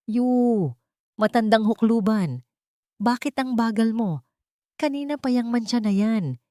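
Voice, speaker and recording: A woman's voice: a little high, unhurried, warm tone, no room sound, studio quality, pitched above the performer's own voice, natural voice. A woman voicing a haughty, cruel young wife with a Filipino accent, scornful and sneering, in an audio drama. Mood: scornful, angry.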